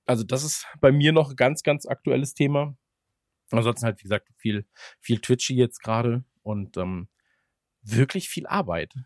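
A man's voice: mid-range voice, moderate pace, darkish tone, dry recording, clean studio sound. A man chatting casually as a podcast host, rambling along with ironic jokes. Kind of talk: casual chat.

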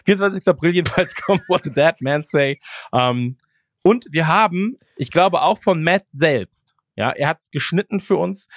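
A man's voice: on the high side, moderate pace, no room sound. Rambling podcast man, dry sound. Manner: rambling, many fillers, laughs while talking. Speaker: podcast co-host. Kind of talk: casual podcast chat.

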